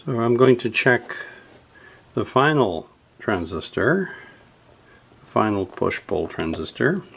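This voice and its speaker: A man giving an unscripted hands-on repair tutorial, thinking aloud haltingly as he works. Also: medium pitch, big pitch swings, creaky, fairly bright tone, husky. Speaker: host of a radio repair video.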